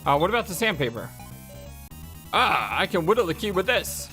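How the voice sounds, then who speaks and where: A woman's voice: lowish voice, big pitch swings, moderate pace, bright, forceful tone. A woman hosting a let's play, switching between reading game narration aloud and casual commentary. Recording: game music behind. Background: video game music.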